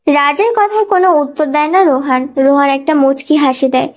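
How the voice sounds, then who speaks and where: A woman's voice: high voice, fairly even intonation, fairly quick. A woman reading scripted narration of a dramatic thriller story as a storyteller.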